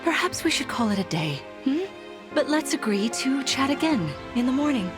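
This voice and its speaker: An actress playing a gentle, regal mother queen in a video game, speaking in a tender, formal, measured way. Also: sits high, very animated, over music. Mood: fond, playful. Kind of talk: scripted video game voice acting.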